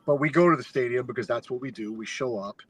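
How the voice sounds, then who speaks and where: A man as a podcast guest, telling a long fan story in a casual, animated, rambling way with lots of filler words. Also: mid-range voice, quick pace, husky. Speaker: guest, fan sign maker.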